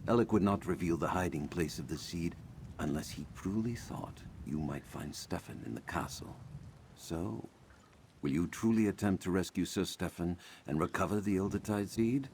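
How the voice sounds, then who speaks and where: An actor playing a learned, courteous older druid in a fantasy video game, speaking in a formal, measured, concerned way. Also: male voice, darkish tone, a little hiss or hum. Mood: solemn, concerned. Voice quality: deep, gravelly.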